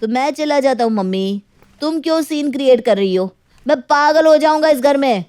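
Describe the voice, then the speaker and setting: A woman's voice: sits high, lively intonation, normal speed, a little mellow. A woman voicing a selfish, irritable young husband with a brusque, dismissive way of talking in a story. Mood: angry, defiant.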